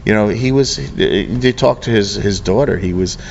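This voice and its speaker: A middle-aged American man with a low voice talks earnestly in a casual interview. He halts and circles back as he goes. The recording is dull, with some noise behind him. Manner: earnest, halting, circles back mid-sentence. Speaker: interviewee, local arts program representative. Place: event venue before a program starts. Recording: dull, noisy on-location recording.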